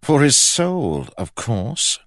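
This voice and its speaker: A man's voice: mid-range voice, wildly swooping pitch, slow pace, a bit bright, no room sound, clean studio sound, voice pushed up, heavily acted. A man voicing a pompous, pious king in an audiobook, questioning in a scornful, imperious way. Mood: solemn, surprised. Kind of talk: audiobook character acting.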